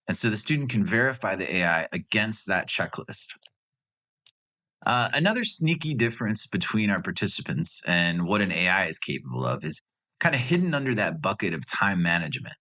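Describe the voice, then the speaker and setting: A man with a low voice and a bright, forceful edge. He presents a recorded class project, talking it through conversationally and dropping in dry asides. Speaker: graduate student presenter.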